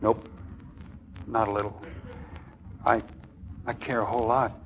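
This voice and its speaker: An actor playing an eager, brisk young American army officer and suitor in a 1940s radio drama. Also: male voice, on the low side, level tone, natural voice. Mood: teasing, tender. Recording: noisy 1940s radio broadcast.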